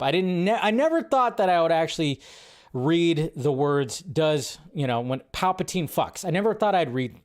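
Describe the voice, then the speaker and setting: A man hosting a casual movie news show, rambling and laughing as he talks. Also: fairly high pitch. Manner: rambling, laughs often, trails off. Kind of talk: casual solo commentary.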